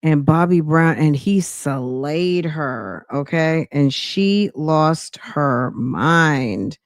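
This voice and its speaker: A woman's voice: low-pitched, expressive, extremely slow, no echo, clean studio sound. A woman podcast host giving casual, gossipy reaction commentary in a slangy, sassy way, speaking straight to her listeners.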